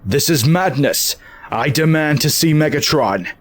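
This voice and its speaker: A man playing an earnest, principled robot hero in a fan dub, speaking in a grave, measured, deliberate way. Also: low voice, breathy edge, no echo, exaggerated voice. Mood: indignant. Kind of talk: scripted fan dub acting. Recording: faint background noise and ambience.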